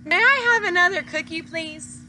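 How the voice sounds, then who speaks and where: A woman's voice: extremely high pitch, normal speed, sharp tone, a little hiss or hum, heavily acted. A woman voicing a small, sweet, polite young duckling in a picture book, in simple cheerful phrases.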